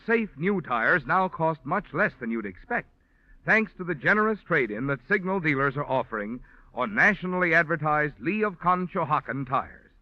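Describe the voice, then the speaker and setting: Animated American male radio announcer. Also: a little high, no echo. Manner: polished, emphatic sales delivery. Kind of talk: 1940s radio advertising announcement. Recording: dry, muffled vintage radio recording.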